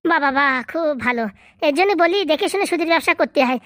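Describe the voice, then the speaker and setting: A woman voicing a sharp-tongued grown daughter in a comedy cartoon, sarcastic and nagging. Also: high-pitched, lively intonation, a little fast, no echo, clean studio sound. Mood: scolding, sarcastic.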